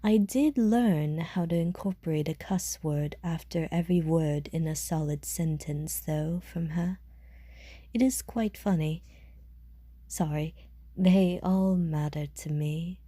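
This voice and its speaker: A woman playing a calm, world-weary woman who speaks politely and measuredly, with dry teasing and care, in an audio roleplay. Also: fairly low pitch, no echo. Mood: wistful, fond. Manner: measured, polite, softly jaded.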